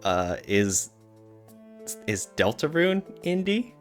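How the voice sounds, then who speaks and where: A man's voice: medium pitch, very animated, slow, faint music behind. A man talking casually and explaining things as a podcast co-host.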